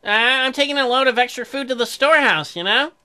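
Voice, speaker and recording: Woman reading halting, earnest young farmer husband. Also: high-pitched, sharp tone, faint music behind. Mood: awkward, bashful. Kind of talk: visual novel character reading.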